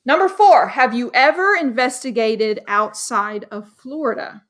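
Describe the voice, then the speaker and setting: A woman's voice: on the high side, unhurried. A woman from Dallas, Texas, a paranormal investigator, chatting bubbly and casually with lots of fillers in an interview.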